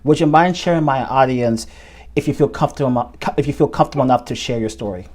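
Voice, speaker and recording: A man hosting an interview show, asking questions haltingly and repeating words. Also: mid-range voice, darkish tone. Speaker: show host.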